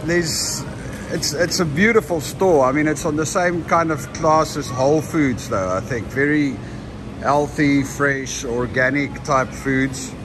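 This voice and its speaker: A man's voice: fairly high pitch, measured pace. A South African man vlogging casually as he walks through a large supermarket, musing aloud with frequent ums. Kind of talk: casual walking vlog. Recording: loud store hum behind voice.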